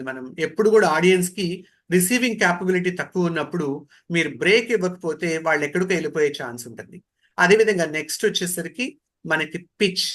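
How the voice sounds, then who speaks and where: A man with a Telugu accent giving an online training lecture as an instructor, speaking deliberately and mixing in English terms. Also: high-pitched, fairly bright tone.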